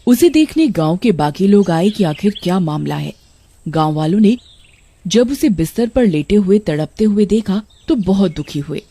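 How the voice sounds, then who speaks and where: A woman giving scripted storytelling narration for a cartoon, in a mellow voice. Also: mid-range voice, animated, moderate pace.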